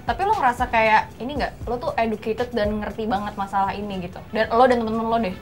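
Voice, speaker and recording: A woman's voice: on the high side, fast. A young woman in a casual group discussion, agreeable and often repeating short affirmations. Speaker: talk show panelist. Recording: faint music behind the talk. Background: faint background music.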